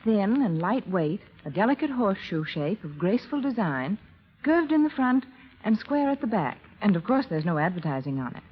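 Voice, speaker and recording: Spokeswoman, 1930s radio commercial, animated read with husky edge, dull archival recording. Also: medium pitch, normal speed. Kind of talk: scripted 1930s radio product pitch.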